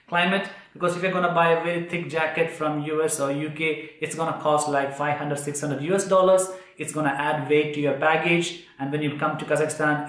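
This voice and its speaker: A man's voice: on the high side, a bit bright. A man in his thirties from India, with an Indian accent, talking to the camera in a kitchen with many fillers and restarts. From India, Kerala. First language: Malayalam. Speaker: vlogger. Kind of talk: casual talk to camera. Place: indoors, small kitchen room. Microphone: camera at a short distance. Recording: echoey room.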